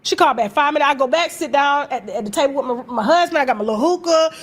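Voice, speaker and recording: An American woman telling gossip in a casual, animated, story-like way, brash and sassy, swearing freely and acting out conversations. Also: high-pitched, very fast. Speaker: gossip vlogger and host.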